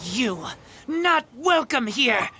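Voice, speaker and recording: An actor playing a feral, loyal teenage boy raised by wolves in a video game, speaking in halting, broken sentences. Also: very high-pitched, very animated, sharp tone, slight background noise, exaggerated voice. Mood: defiant.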